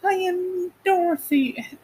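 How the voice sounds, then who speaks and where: A man's voice: very high-pitched, slow, a little mellow, pitched above the performer's own voice, rough recording. A man voicing an earnest, polite young girl in a storybook reading.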